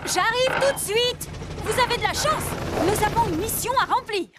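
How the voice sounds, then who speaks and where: A chirpy rabbit pilot. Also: female voice, very high voice, heavy background noise. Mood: brisk. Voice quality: bright, brisk and helpful. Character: busy, cheerful female rabbit helicopter pilot. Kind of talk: dubbed cartoon acting. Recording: cartoon soundtrack under loud music. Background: music.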